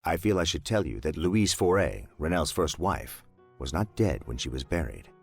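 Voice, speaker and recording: Deep, gravelly detective. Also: male voice, sing-song, soft background music, exaggerated voice. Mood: earnest, resolute. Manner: formal, measured, earnest. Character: grave, formal male detective investigating deaths. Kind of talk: dramatic video game cutscene acting.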